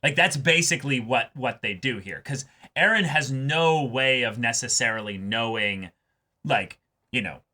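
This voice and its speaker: A man co-hosting an anime reaction show, talking casually and excitedly, often repeating words as he thinks aloud. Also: medium pitch, a little slow. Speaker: co-host reacting to an anime episode.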